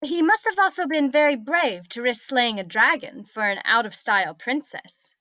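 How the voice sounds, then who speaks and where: A woman's voice: sits high, lively intonation, normal speed, pushed and bright, no echo. A woman voicing a proud, defiant young princess with imperious, formal phrasing in a script table read, on a muffled microphone. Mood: curious.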